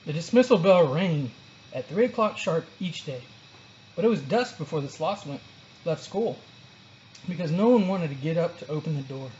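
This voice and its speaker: A storyteller narrating a children's book aloud in an expressive read-along style. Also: medium pitch, normal speed, highs cut off, a little hiss or hum.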